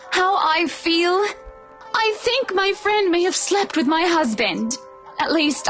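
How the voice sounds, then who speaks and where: A woman's voice: extremely high pitch, a bit bright. An actress playing a poised, wry young married woman in a visual novel, politely spoken but ready to swear. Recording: music playing underneath. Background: game music.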